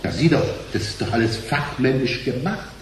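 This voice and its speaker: A man's voice: mid-range voice, moderate pace, close to an everyday voice. A man playing a pompous, old-fashioned, sarcastic banker with stilted phrasing in a radio play. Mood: shocked. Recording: very echoey room, clear background hum.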